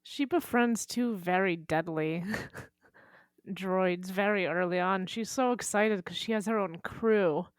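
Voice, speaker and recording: A woman's voice: on the low side, slow pace, clean tone, no room sound. Casual woman podcaster, very dry sound. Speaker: podcast co-host.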